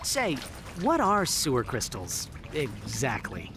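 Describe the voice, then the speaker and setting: Lively young game hero. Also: male voice, mid-range voice, slow pace, noisy background, full character voice. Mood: wry, uneasy. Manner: casual, wry, boyish. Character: young, plucky, wry male alien hero. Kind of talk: video game character acting.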